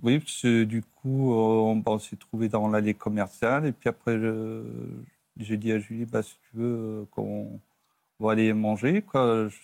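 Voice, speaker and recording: A middle-aged man, a talk show guest recalling his own life in a halting, plain-spoken, casual way. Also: on the low side, level tone, darkish tone, no room sound. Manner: halting, plain-spoken, often tags phrases with a filler. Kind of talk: casual personal recollection. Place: TV studio.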